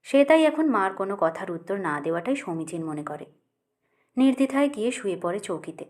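A woman reading a literary short story as an audiobook narrator, in a measured, expressive way. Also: medium pitch.

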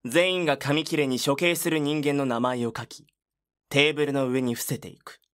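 A man's voice: mid-range voice, fairly even intonation, moderate pace, a bit bright, lightly acted. A man acting as the grave, detached narrator of a scripted horror audio drama.

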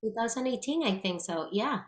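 A woman's voice: a little high, a little fast. A woman in her thirties with a Puerto Rican accent, a guest actress chatting animatedly over a video call. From Puerto Rico. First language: Spanish. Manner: animated, gestures a lot, restarts often. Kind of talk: casual interview chat. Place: small room with plain grey wall, indoors. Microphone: video call webcam. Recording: roomy echo.

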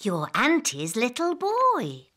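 A woman playing a cheerful, fussing royal mother figure in a children's cartoon, speaking in a motherly, expressive way. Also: a little high, slow pace, no echo, full character voice. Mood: busy. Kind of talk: animated children's cartoon acting.

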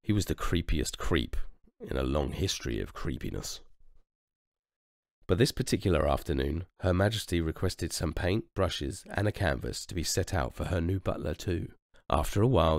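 Low gravelly guy reading a kids story, really expressive, dry close sound. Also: moderate pace, dry recording. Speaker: storybook reader. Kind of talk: expressive children's story narration.

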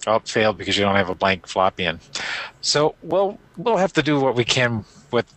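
A man's voice: medium pitch, big pitch swings, fairly quick, a bit bright, rough recording. A man casually explaining a game and coaching another player in an offhand way. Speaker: podcast co-host guiding a player. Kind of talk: casual chat, game walkthrough.

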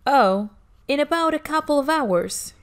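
Patient storybook mother, voiced by a woman reader, high and lively in pitch, unhurried, reassuring. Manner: gentle, reassuring. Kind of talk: children's storybook read-aloud.

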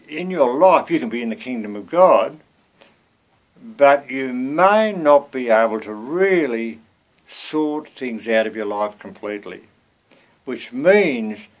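A man in his seventies giving an informal, earnest, conversational sermon to camera as a lay preacher, often turning to rhetorical questions, recorded at home on a computer mic. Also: medium pitch. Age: 70s. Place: small cluttered room at home.

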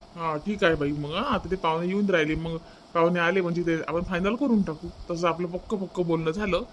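A man's voice: high voice, steady tone, fairly quick, slight background noise, natural voice. A man voicing a middle-aged village father in a Marathi family cartoon, practical and persuasive, with a Varhadi accent and homely, questioning delivery. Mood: satisfied, planning. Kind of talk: comic family cartoon dubbing.

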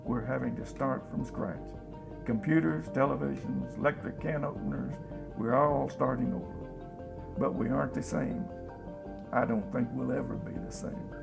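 An actor playing a folksy, plain-spoken, hopeful scavenger in a radio drama about survivors of a disaster. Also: male voice, mid-range voice, moderate pace, creaky, over music. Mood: hopeful, earnest. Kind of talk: radio drama, interview monologue.

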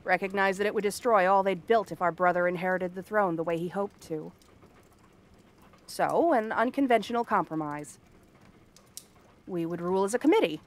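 A woman's voice: medium pitch, normal speed, pauses often, lightly acted. Woman acting a bratty, sardonic frog-girl princess. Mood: wry storytelling. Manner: haughty, sardonic, theatrical tsundere delivery. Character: bratty, cranky cursed frog-girl princess with a soft spot. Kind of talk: ASMR fantasy roleplay monologue.

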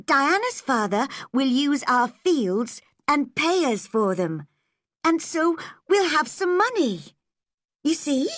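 A woman's voice: high-pitched, sing-song, takes it slowly, fairly bright tone, no echo, studio quality, voice pushed up, full character voice. A woman voicing an eager teenage girl. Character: eager bright teenage girl, hopeful and earnest.

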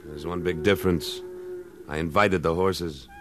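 Low-voiced, terse American western trail boss. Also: male voice, fairly even intonation, unhurried, background noise. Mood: curt, dry. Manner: terse, dry, commanding. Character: tough, curt cattle rancher and trail boss. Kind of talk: 1950s western radio drama acting. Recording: old radio recording with music.

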